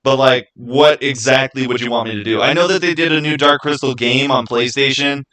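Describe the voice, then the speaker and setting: A man with a mid-pitched voice hosts a livestream. He talks in a casual, conversational way, wandering through his thoughts as he responds to people in the chat. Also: fairly quick, sharp tone. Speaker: livestream host. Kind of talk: casual livestream chat, answering viewers' comments.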